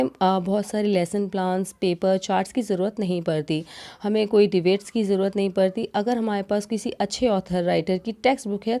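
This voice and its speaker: A woman's voice: mid-range voice, mellow. A woman with an Indian accent and Hindi as her first language, giving a didactic, explanatory lesson as a teacher. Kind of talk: explanatory lesson, alternating read-out points and explanation.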